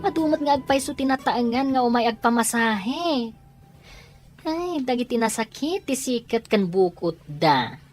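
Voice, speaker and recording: A woman's voice: on the high side, animated, measured pace, darkish tone, soft background music. A woman with an Ilocano accent playing a chatty, inquisitive friend who exclaims in amazement in an audio drama. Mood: amazed, curious.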